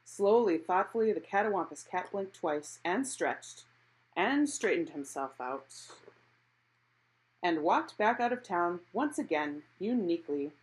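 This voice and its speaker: A woman reading a picture book aloud expressively, in an animated storytelling manner. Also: on the low side, a little slow, low-quality recording. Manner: animated, acting out characters' exclamations.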